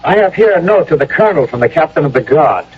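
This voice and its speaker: A dutiful young lieutenant in a radio play. The voice is high and quick, formally urging caution with lively swings. The recording is muffled. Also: male voice, breathy edge. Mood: urgent. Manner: urgent, formal. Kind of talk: radio drama acting.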